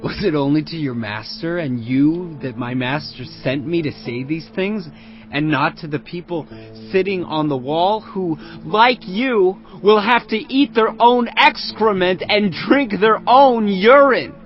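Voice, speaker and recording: A boastful, mocking Assyrian commander. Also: male voice, high-pitched, measured pace. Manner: boastful, mocking, declaiming loudly. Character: arrogant Assyrian field commander taunting a city. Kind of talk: dramatized audio Bible acting. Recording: muffled lo-fi audio under music. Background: cinematic music.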